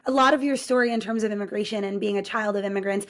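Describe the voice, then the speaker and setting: A woman's voice: medium pitch, fairly even intonation, very fast. A woman originally from Poland, hosting a podcast, asking a guest questions in an encouraging, conversational way. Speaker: podcast host. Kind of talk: casual interview talk.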